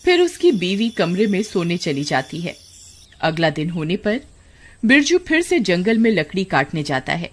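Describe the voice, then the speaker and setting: A woman's voice: lowish voice, sing-song, moderate pace. A woman narrating a scripted horror cartoon story. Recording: music behind the voice.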